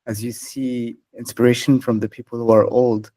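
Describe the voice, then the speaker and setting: A man hosting a podcast interview, gently affirming his guest and asking measured questions. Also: mid-range voice, level tone. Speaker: podcast host. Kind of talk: interview conversation.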